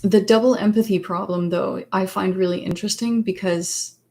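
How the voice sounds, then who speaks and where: A woman whose first language is Danish, chatting casually on a livestream as a psychologist and author. She pauses often and searches for words. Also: medium pitch, fairly even intonation, dark tone. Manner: hesitant, many fillers and restarts, searches for words. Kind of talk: casual livestream chat answering viewer questions.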